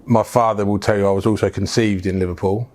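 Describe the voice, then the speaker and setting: A man's voice: lowish voice, fairly even intonation, fast, darkish tone. A middle-aged English businessman telling his life story in a frank, casual interview, with plenty of fillers and restarts. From England. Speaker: business founder, guest.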